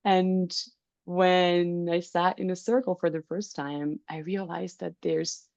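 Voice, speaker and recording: A woman's voice: fairly low pitch, little pitch movement, takes it slowly, creaky, a little mellow, no room sound, muffled recording. A woman from France, a circle facilitator talking earnestly in casual chat on a video call, laughing often.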